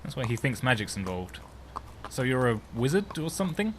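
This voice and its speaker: A man's voice: medium pitch, big pitch swings, fairly quick, natural voice. A man playing an earnest, wary half-elf bard in a fantasy audio drama, with a dry manner. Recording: noisy night-time cricket ambience behind.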